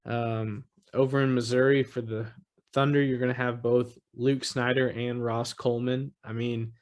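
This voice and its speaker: A man's voice: medium pitch, normal speed. Rambling, easygoing male podcast host. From Texas. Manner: relaxed, rambling, heavy on fillers. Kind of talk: casual sports chat.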